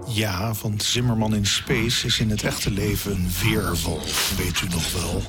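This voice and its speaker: A man's voice: lowish voice, steady tone, a little slow, very noisy. A man narrating a scripted science column in a wry, bookish manner. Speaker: astronomy podcast narrator.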